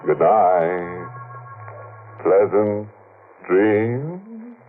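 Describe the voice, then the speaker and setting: An actor playing a ghoulish horror host in 1940s radio, mocking and punning in a theatrical, tongue-in-cheek way. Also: male voice, lowish voice, huge pitch swings, drawn-out words, phone-quality sound, soft background music, exaggerated voice. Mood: gleefully macabre. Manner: macabre, punning, theatrical, chuckling. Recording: noisy, band-limited 1940s radio recording.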